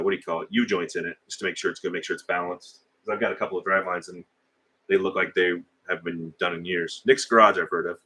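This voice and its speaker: A middle-aged man with a deep, low-pitched voice that still sounds bright and forceful. He talks in a loose, rambling way, stopping for fillers and restarts as he chats with viewers on a live stream. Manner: rambling, conversational, hesitant with fillers. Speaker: livestream host. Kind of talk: casual live chat reading viewer comments.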